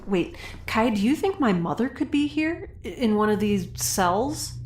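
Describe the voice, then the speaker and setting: A woman's voice: fairly high pitch, animated, a little slow, a little mellow, a little hiss or hum. A woman playing a quirky young scientist in a science fiction audio drama, informal and wry with a slightly hesitant delivery. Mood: anxious, focused.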